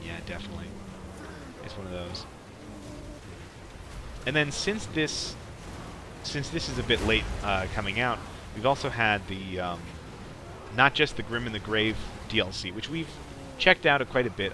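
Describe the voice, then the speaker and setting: Low-voiced man commentating a game, noisy background. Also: moderate pace, long pauses. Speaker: podcast host commentating gameplay. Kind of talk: casual gameplay commentary. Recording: clearly noisy background behind voice.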